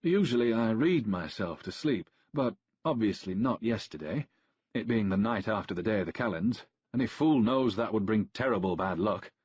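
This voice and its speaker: A man's voice: lowish voice, animated, darkish tone, breathy edge, no echo, lo-fi sound, pitched above the performer's own voice. A man voicing a dour, superstitious old statesman. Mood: solemn. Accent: Danubian. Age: 50s. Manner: solemn, measured.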